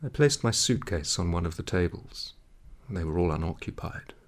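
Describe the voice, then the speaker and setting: A man reading a literary story aloud in a measured, dramatic way, with a gravelly, husky voice. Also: deep voice, animated. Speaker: audiobook reader.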